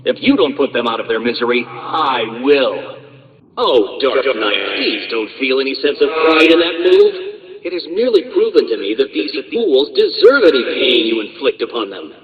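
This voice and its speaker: An actor playing an arrogant, condescending genius villain in a video game, with a pompous, sneering, wordy delivery. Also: male voice, medium pitch, sing-song, normal speed, lo-fi sound, lightly acted. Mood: exasperated, sneering.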